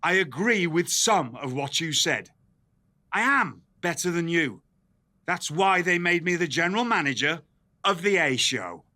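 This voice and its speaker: Proud British wrestling boss, indignant, forceful high voice, video game cutscene. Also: male voice, lively intonation, unhurried. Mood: proud, challenging. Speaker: wrestling show general manager.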